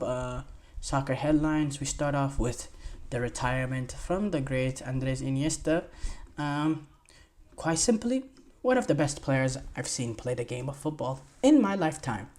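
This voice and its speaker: A man's voice: mid-range voice, a little slow, darkish tone. Rambling young man hosting a podcast. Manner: rambling, filler-heavy, enthusiastic praise. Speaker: podcast host. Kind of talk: casual solo sports podcast commentary.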